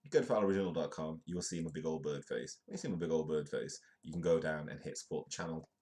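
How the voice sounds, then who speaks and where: A man's voice: a bass voice, steady tone, fast, warm tone. A congested man with a British accent, hosting a comedic live news show, chatting and joking as he reads the news aloud. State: congested, stuffy nose. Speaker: host.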